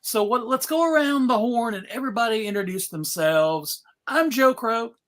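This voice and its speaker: A man's voice: very high voice, normal speed, a bit bright. A man hosting a casual panel on a video call, with a playful, jokey manner. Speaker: panel host and convention track co-director. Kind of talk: casual unscripted panel introductions.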